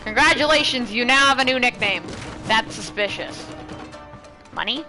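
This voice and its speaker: A woman's voice: a little high, normal speed. A woman giving playful, casual gameplay commentary, repeating phrases and talking back to the game. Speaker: gaming streamer. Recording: game music behind voice.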